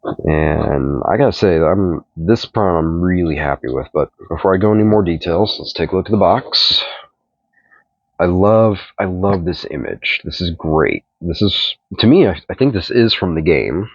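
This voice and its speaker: Deep-voiced guy with a cold rambling about a toy, kinda muffled and dry. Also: normal speed, husky, no room sound. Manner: relaxed, rambling, repeats himself while handling the figure. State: stuffed up from a cold. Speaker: toy reviewer. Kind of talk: casual toy review. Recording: dull, dry home recording.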